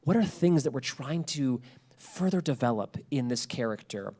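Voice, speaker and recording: A man's voice: medium pitch, lively intonation, normal speed, dark tone. A man presenting an informal lecture to an audience, explaining ideas and posing rhetorical questions as he goes. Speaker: presenter giving a talk on podcast world-building.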